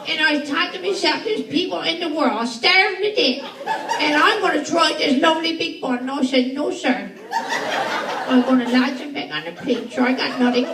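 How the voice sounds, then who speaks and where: A woman's voice: high-pitched, moderate pace, crowd noise. A woman playing a gossipy older churchgoing lady who chats confidingly to God in a folksy dialect, giggling as she goes. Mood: chatty. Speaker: comedian. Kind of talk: stand-up character monologue. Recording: echoey live stage from far off. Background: audience laughter and noise.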